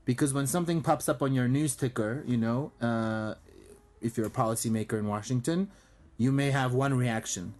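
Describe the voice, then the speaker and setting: A man whose first language is Armenian, a guest on a talk show, explaining his diplomatic work in a fluent, steady manner with frequent ums and uhs. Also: medium pitch, normal speed. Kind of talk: casual interview talk.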